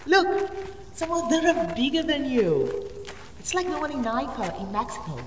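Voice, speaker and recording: Woman acting bold cave explorer, lively and echoing. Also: sits high, normal speed. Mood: eager. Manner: eager, goading, dramatic. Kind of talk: audio drama acting. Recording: echoey cave-like reverb, distant, faint noise.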